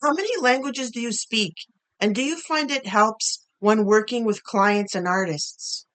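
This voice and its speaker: A woman hosting a radio show, introducing her guest and asking clear, plain interview questions. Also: a little high, a little slow, fairly bright tone, dry recording. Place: radio studio.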